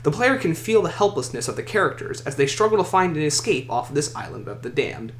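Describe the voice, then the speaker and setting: Echoey man's voice with lively, swinging pitch. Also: fast. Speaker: list video host. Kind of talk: scripted countdown commentary. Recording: echoey room, faint noise.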